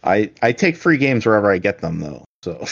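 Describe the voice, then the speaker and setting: A man's voice: on the low side, sing-song, a little fast, gravelly, lo-fi sound. A man in his forties co-hosting a casual podcast chat, rambling and self-deprecating with frequent laughs. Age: 40s. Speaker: podcast co-host.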